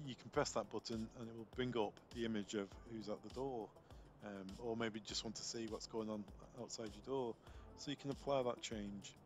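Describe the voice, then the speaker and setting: A man presenting an unscripted software tutorial step by step, explaining as he goes and often repeating words as he finds his phrasing. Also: medium pitch. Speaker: product trainer demonstrating intercom software.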